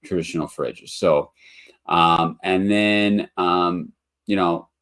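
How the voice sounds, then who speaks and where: Super deep guy doing a webinar, kinda stuffy nose, lots of ums. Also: takes it slowly, fairly bright tone, clear, resonant tone. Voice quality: nasal. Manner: rambling, many ums and restarts. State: congested from allergies. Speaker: webinar host, motel investor. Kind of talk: casual live webinar presentation.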